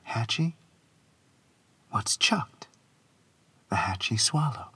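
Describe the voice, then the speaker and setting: A man's voice: medium pitch, fairly bright tone, breathy edge. A man reciting his own poetry dramatically, in an incantatory, rhythmic delivery with repeated phrases. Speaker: poet reading own work.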